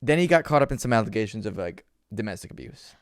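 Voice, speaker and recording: A man's voice: on the low side, very animated, fast talker, smooth, no room sound, clean studio sound. A man with a stammer co-hosting a podcast in casual, emphatic, sweary chat. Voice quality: has a stammer. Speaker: podcast co-host.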